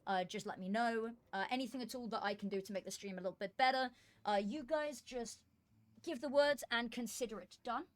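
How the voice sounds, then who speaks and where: A woman's voice: on the high side, brisk. A woman streaming esports, chatting casually while fixing her setup, her voice worn from long hours of streaming and often trailing into muttering to herself. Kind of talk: casual live-stream chat while setting up. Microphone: desk microphone.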